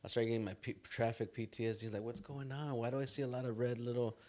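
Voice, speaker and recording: A man from Los Angeles interviewing a guest in casual chat, telling animated stories with sound effects and quoted voices. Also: mid-range voice, fast.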